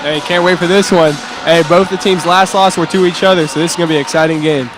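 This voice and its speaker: A man's voice: a little high, steady tone, fast. A man giving color commentary and analysis during a live basketball game in a school gym. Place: indoor school gymnasium. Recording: very noisy gym broadcast.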